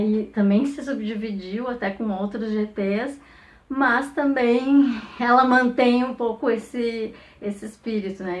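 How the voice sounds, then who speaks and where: Brazilian woman giving an informal academic testimonial. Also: medium pitch. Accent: Brazilian Portuguese. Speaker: academic researcher and professor. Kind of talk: informal recorded testimonial, unscripted reflective talk.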